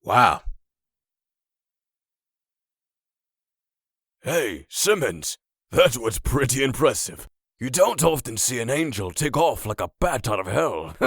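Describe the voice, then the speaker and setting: A man playing a sly, knowing old vagrant with a raspy voice and a folksy, condescending manner in a comic dub. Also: mid-range voice, very animated, a little slow, a bit bright, no room sound, studio quality, full character voice. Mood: amused.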